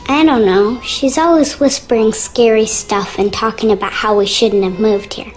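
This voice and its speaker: A woman's voice: on the high side, steady tone, moderate pace, soft background music, close to an everyday voice. A woman voicing a frightened, earnest young girl in a video game, childlike and confiding. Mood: uneasy, confiding. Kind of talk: video game character dialogue.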